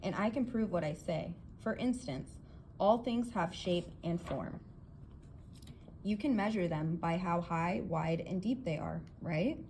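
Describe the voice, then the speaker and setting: A woman's voice: on the low side, a little slow, a little mellow. Emphatic, confident male inventor, read by a woman. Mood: earnest, lecturing. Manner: emphatic, explanatory, theatrical. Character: earnest, confident male inventor lecturing his guests. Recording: clear background noise.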